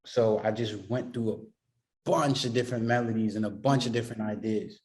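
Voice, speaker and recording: A man's voice: on the low side, steady tone, normal speed, a little mellow, poor audio. A man from the Boston area, a music producer being interviewed, talking casually and rambling with lots of "you know what I mean" fillers.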